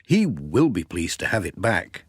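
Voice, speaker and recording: A man acting a friendly village postman from a children's storybook. He sounds cheerful and earnest, with very varied intonation and an easy, slightly slow pace in a dry recording. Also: sits low, big pitch swings, breathy edge, no room sound, voice pushed down, exaggerated voice. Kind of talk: children's storybook character dialogue.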